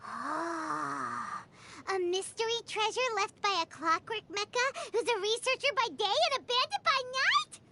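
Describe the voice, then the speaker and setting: A woman voicing a small, excitable, naive childlike girl companion in a video game, squeaky and theatrical in her delivery. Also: a little slow, gravelly, background noise, full character voice. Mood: curious, musing. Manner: refers to herself in third person, exclaims theatrically. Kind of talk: video game character voice acting. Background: background music.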